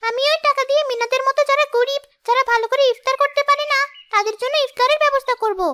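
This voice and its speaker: Woman as young cartoon girl, sincere and emotive, piercingly high and bright, over music. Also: steady tone, fast. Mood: earnest, pleading. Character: earnest young schoolgirl in a moral cartoon story. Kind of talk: scripted cartoon dialogue. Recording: music behind the voice.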